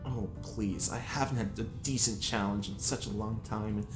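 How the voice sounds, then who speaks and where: A man's voice: fairly low pitch, fairly even intonation, moderate pace, soft background music, lightly acted. A man playing a pompous, sly prisoner with refined tastes in an audio drama, theatrical and arch. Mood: sly, playful. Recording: roomy echo.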